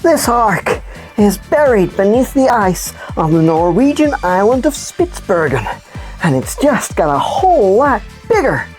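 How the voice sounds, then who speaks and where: A woman voicing an excitable, dramatic puppet presenter on a children's news show, with playful, exaggerated delivery. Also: a little high. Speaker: host.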